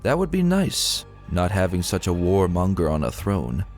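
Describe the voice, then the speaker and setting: Male narrator, low register, dramatic audiobook-style storytelling over a music bed. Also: wildly swooping pitch, normal speed, a little mellow. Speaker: narrator of fan fiction readings.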